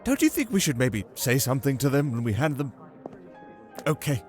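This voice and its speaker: A man's voice: medium pitch, sing-song, moderate pace, darkish tone, natural voice. Guy acting a jittery noble bloke, fawning and stammering, audio drama with music behind. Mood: flustered. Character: nervous, eager-to-please male noble, flustered and cowed. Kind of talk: scripted audio roleplay drama.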